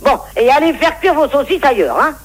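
A woman's voice: sits high, big pitch swings, fairly quick. A woman complaining on a phone call in an exasperated, scolding manner.

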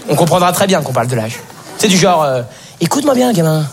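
A man's voice: a little high, lively intonation, fast. A nineteen-year-old man from France doing a casual, slangy stand-up monologue, talking straight to the audience. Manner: conversational, slangy, addresses the audience. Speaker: stand-up comedian. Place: live comedy show before an audience. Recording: noisy live stage recording.

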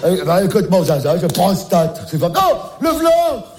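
A man playing a scatterbrained, high-voiced grandpa. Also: big pitch swings, unhurried, darkish tone. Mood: bumbling. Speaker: comic sketch character. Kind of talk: comic one-sided phone call sketch.

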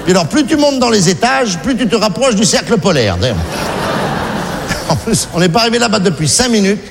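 A man doing observational stand-up, telling stories and complaining with lively mock outrage. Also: high voice, a little fast, creaky, background noise. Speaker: stand-up comedian. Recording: live hall recording aired on radio.

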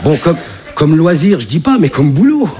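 A man doing a stand-up comedy set on a club stage, animated, with big jumps in voice for punchlines. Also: normal speed, crowd noise. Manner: animated, jumps high for punchlines and acted-out quotes. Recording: live club audience laughter. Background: audience laughter.

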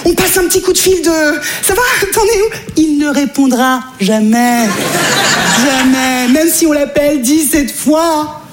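A woman's voice: high voice, expressive, measured pace, roomy sound, background noise. A woman performing a stand-up comedy routine, talking directly to the audience in a chatty way. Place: comedy stage before a live audience.

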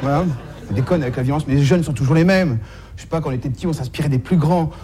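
A man's voice: a little high, racing, mellow, slight background noise. A man doing a stand-up comedy monologue in slangy, streetwise, mock-naive style, repeating phrases as he goes, on a live stage recording.

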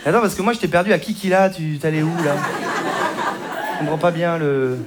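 High-voiced man doing stand-up over crowd laughter. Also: very noisy. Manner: quick, mocking, slangy, acts out characters. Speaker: stand-up comedian. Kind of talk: stand-up comedy set. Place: comedy club stage with audience. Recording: live club with loud crowd laughter.

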